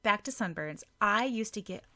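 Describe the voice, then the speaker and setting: A woman's voice: mid-range voice, lively intonation, moderate pace, sharp tone. A woman voicing a self-assured young model-actress in an audiobook, breezy and chatty. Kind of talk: audiobook character dialogue.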